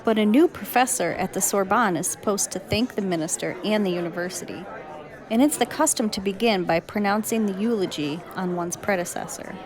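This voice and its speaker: A woman's voice: mid-range voice, brisk, a crowd in the background, lightly acted. An actress playing an earnest woman in an old-time radio drama, with careful, scripted phrasing. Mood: curious. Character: woman storyteller and onlooker in a period drama.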